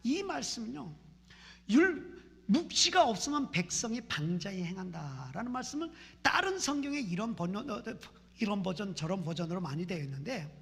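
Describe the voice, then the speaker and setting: Woman pastor preaching hard, bright lively voice, big echoey church sound. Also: mid-range voice, moderate pace, creaky. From Seoul, South Korea. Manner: fervent, repeats phrases in rising prayer chants. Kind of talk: impassioned sermon. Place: church sanctuary. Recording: reverberant church hall.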